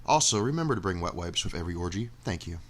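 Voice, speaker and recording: A man's voice: low-pitched, expressive, fast talker, slight background noise. A man reading an exuberant radio station promo with music behind him.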